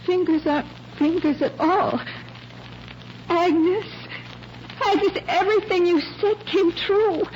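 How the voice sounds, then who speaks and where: A high-voiced, fragile young woman close to breakdown. She speaks in halting, trembling repeats, in the manner of old radio mystery, on a muffled, hissy recording. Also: fairly even intonation, darkish tone. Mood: feverish, distraught. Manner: tremulous, halting, repeating herself. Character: frightened, morbid, emotional young woman on the edge of breakdown. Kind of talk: 1940s radio mystery acting.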